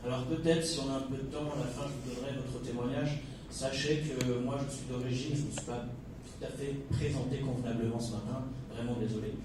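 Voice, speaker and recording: A man's voice: medium pitch, steady tone, brisk. Chatty man preaching in an echoey hall. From Algeria, Kabylie. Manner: chatty, joking asides, many hesitations. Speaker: guest preacher. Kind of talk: informal sermon to a congregation. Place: church hall. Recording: echoey hall from far off, noisy.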